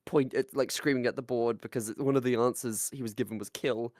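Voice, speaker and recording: A podcast co-host talks in casual, animated bursts at a middling pitch. The manner is jokey and sweary. The recording is dry, with little sense of a room. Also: brisk, no room sound. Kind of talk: casual chat.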